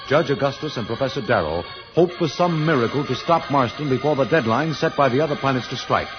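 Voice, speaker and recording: Male announcer, old radio serial narrator, mid pitch, dramatic delivery, heavy music behind. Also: highs cut off. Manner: dramatic, declamatory.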